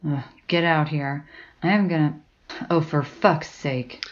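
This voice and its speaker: A woman's voice: deep voice, a little slow, lo-fi sound. A woman playing a blunt, suspicious, sardonic academic in an audio drama, with a dry, sarcastic delivery. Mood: irritated. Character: sardonic, blunt, suspicious, foul-mouthed female college academic.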